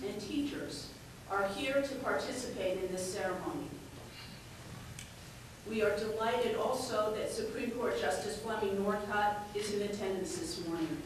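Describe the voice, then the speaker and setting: A woman acting as a judge gives a measured, formal welcome speech in a courtroom. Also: mid-range voice. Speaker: chief judge giving ceremony welcome. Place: large echoey courtroom. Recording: very echoey, distant mic, faint noise.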